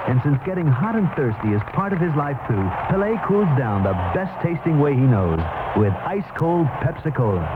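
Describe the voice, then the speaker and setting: A man's voice: on the high side, very animated, normal speed, few pauses, narrow, tinny audio, very noisy. A man narrating a scripted 1970s radio commercial as an announcer. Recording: thin old radio sound, loud music. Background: loud music.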